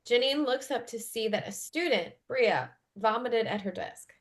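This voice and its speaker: A woman's voice: fairly high pitch, expressive, measured pace. A woman reading out stage directions as scripted narration at a table read.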